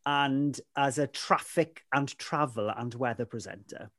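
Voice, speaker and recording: A man's voice: medium pitch, unhurried, a bit bright, no echo, studio quality. A man with a Welsh accent whose first language is Welsh, recounting his career as a guest in a casual interview, rambling with lots of "you know" fillers. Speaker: interview guest, weather presenter.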